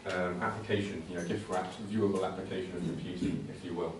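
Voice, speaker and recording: A man presents technical material informally, in a low voice that stays quite flat. He is hesitant and conversational, doubling back on words as he explains. The recording is heavily echoey, as if from a venue. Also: fast talker. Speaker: presenter at a computing conservation talk. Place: indoor talk venue. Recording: very echoey room, distant mic, faint noise.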